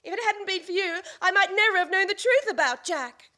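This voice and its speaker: A woman's voice: very high-pitched, animated, fairly quick, pushed and bright, exaggerated voice. A woman playing an indignant, vengeful wife in a farcical radio play, declaiming theatrically with many exclamations. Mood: gleefully vengeful. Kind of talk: stage farce performed as radio play.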